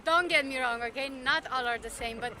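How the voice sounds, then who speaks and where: A woman's voice: high voice, a little fast, pushed and bright, faint music behind. A woman interviewed on a city street, answering thoughtfully and searching for words. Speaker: passer-by interviewed.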